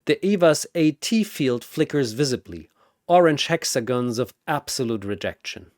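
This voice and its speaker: A man's voice: medium pitch, animated, moderate pace, no echo, studio quality. A man delivering dramatic scripted battle narration in a theatrical, punchy way.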